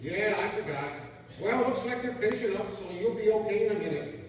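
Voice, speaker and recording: An actor playing a steady, dry detective uncle in a staged radio play. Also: mid-range voice, a little fast, lightly acted. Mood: calm. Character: square-jawed detective uncle, steady and wry. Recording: echoey hall from far off, noisy.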